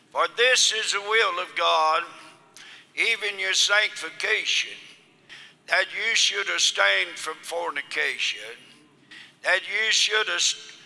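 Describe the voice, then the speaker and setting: A man preaching a sermon with a hoarse voice, reading scripture slowly and rising toward shouted lines. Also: high voice, big-room sound. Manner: slow, drawn-out delivery rising into shouts.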